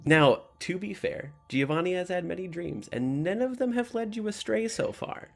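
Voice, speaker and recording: A man voicing an excitable, dramatic restaurant right-hand man in a tabletop game, eager and insistent. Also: high voice, moderate pace, creaky, pitched above the performer's own voice. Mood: eager, persuading.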